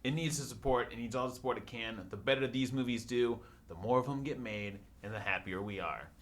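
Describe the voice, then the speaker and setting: Male podcast host, medium pitch, informal conversational delivery among co-hosts. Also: a little fast. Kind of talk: casual podcast chat.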